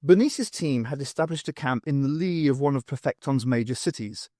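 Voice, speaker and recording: A man's voice: mid-range voice, a little fast, mellow, no room sound, studio quality. A man reading scripted narration from a science fiction audiobook.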